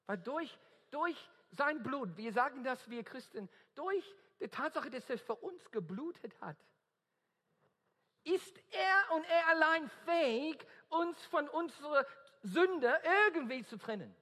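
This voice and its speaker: A man's voice: very high-pitched, expressive, slow pace, pushed and bright. A man with an Australian accent preaching an earnest, emphatic sermon in German, often searching for his words. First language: English. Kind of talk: evangelistic sermon. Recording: long reverb of a hall.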